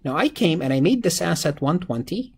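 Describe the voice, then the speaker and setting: Male lecturer, raspy and a little high in pitch. Explanatory walkthrough delivery, repeats key phrases, self-answered questions. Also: big pitch swings, a little fast. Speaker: accounting lecturer. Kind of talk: step-by-step exam problem walkthrough, explanatory teaching. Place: indoors, voice-over on a screen-recorded slide lesson.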